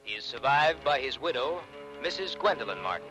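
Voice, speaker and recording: An actor playing an impassive newsreader giving a 1940s radio bulletin, heard as if over a radio. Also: male voice, medium pitch, very animated, brisk, over music, rough recording. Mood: formal, detached. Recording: vintage radio recording.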